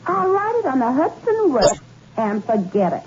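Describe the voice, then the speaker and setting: An actress playing a tough, streetwise former maid in a 1960s radio drama, sarcastic and dismissive. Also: female voice, sits high, big pitch swings, normal speed. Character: brassy, streetwise young woman, a former maid. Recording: dull, hissy old radio recording.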